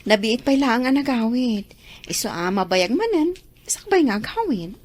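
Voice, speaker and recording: High, lively, chatty woman neighbour. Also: normal speed. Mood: admiring, chatty. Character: sociable, sympathetic woman neighbour, chatty and flattering. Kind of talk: Ilocano radio drama acting.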